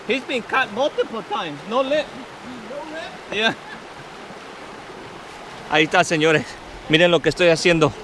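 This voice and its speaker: A man in his thirties vlogging with excitement while fishing from a kayak on a river, switching between Spanish and English. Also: sits high, unhurried, pauses often. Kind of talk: casual vlog narration while fishing. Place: outdoors on a river, wading and kayaking. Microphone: action camera on a kayak. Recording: noisy outdoor river audio.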